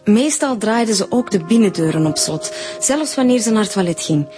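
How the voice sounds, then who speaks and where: A woman's voice: medium pitch, expressive, moderate pace, mellow, faint music behind, natural voice. An actress playing a guarded, defensive woman being questioned in a radio drama. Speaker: victim's daughter.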